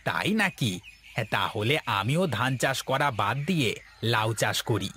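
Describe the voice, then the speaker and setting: A man's voice: mid-range voice, animated, moderate pace, pushed and bright, a little hiss or hum. A man voicing an agreeable, easily swayed village farmer in a cartoon, talking plainly. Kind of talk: cartoon voice acting.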